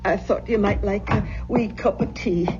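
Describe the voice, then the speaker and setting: A woman's voice: mid-range voice, normal speed, loud music behind the voice, close to an everyday voice. An actress playing an anxious, kindly Scottish aunt, hesitant and motherly, in an old-time radio drama. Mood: gently coaxing. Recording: muffled, faintly noisy old radio recording.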